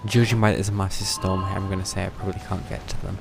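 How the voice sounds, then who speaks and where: A man's voice: low voice, level tone, fast, creaky. A British man giving casual live game commentary, muttering his thoughts aloud with dry understatement. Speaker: game livestreamer. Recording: game music behind the voice. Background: video game music.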